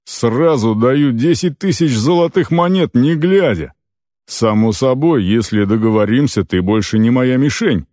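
A man's voice: mid-range voice, animated, unhurried, a little mellow, no room sound, clean studio sound, close to an everyday voice. A man voicing a brash, eager orc killer-for-hire in a fantasy audiobook, blunt, chummy and bargaining. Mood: eager, impressed.

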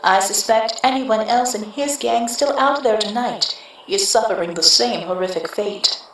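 A grave psychic heroine, echoey and distant. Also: female voice, a little high, a bit bright, slight background noise. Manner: measured, grave delivery. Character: mysterious psychic woman hero, calm and commanding. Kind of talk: radio drama acting. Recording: echoey, distant with music underneath.

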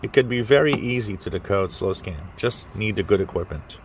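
A man with a fairly low voice talks casually through a hands-on demonstration, step by step. He sounds informal and unscripted, like a hobby channel host. There is clear noise behind him. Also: animated, fairly quick. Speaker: amateur radio channel host.

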